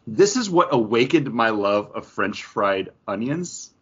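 Husky man hosting a casual chat. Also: on the low side, sing-song, unhurried. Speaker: podcast host. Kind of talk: casual chat, ranking foods. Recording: dull, muffled audio.

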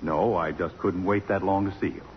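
An actor as a low-voiced, conciliatory middle-aged gentleman. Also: steady tone, normal speed, lightly acted. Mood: tender. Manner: soothing, slightly hesitant. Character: genial, conciliatory middle-aged college president, romantic and hesitant. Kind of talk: 1950s radio drama acting. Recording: dull, faintly noisy old radio recording.

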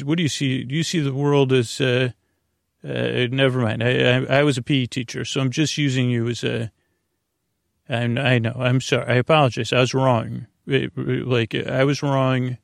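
Creaky-voiced man rambling through a bedtime monologue. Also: medium pitch, no room sound, clean studio sound. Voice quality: creaky, gravelly. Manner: meandering, halting, often trailing off and circling back. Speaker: podcast host. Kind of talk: rambling bedtime monologue to help listeners sleep.

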